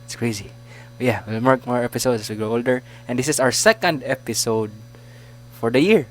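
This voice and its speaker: A man about twenty with a Filipino accent, hosting a casual sports podcast, chatty and quick to laugh. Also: mid-range voice. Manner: chatty, laughs often, trails off. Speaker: podcast host.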